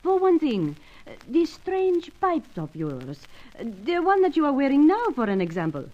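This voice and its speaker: High-voiced, swooping Latin seductress. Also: female voice, wildly swooping pitch, moderate pace, dark tone. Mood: flirtatious. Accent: Latin American. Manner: theatrical pleading, foreign phrasing. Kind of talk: old-time radio spy serial parody acting. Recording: dull lo-fi radio sound.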